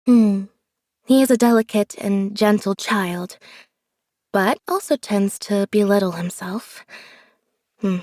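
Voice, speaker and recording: A woman voicing a reserved, observant young female magician's assistant in a video game, speaking in a flat, measured, deadpan way. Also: medium pitch, dry recording, studio quality, close to an everyday voice. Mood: quietly thoughtful. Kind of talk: scripted video game character voice lines.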